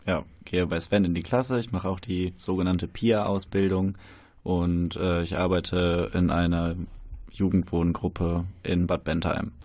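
A man's voice: low voice, little pitch movement, unhurried, a little mellow, no echo. A man in his early twenties, a trainee educator guest on radio, hesitant and laid-back. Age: 20s.

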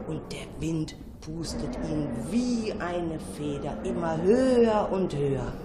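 A woman narrating a children's story in an expressive, dramatic, suspenseful way. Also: low-pitched, background noise. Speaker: storyteller, radio show narrator.

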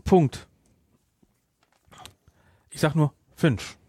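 A man with a German accent chatting casually about a film as a podcast host, with an animated, teasing manner. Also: fairly high pitch, drawn-out words, no room sound. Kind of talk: casual chat, film discussion.

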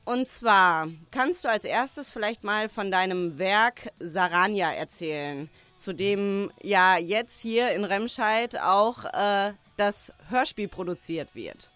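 A woman's voice: mid-range voice, slow, few pauses, a bit bright, dry recording, faint music behind. A woman hosting a radio interview, addressing her guest and the listeners in an informal way.

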